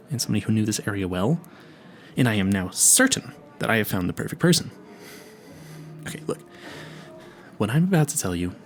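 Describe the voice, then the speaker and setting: A man acts out a clueless young vampire hunter in an audio roleplay. His pitch swings widely as he stammers and rambles, nervous and eager, with a creaky edge to his voice. He talks straight to the listener and keeps losing his thread in earnest tangents. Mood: confiding, sheepish.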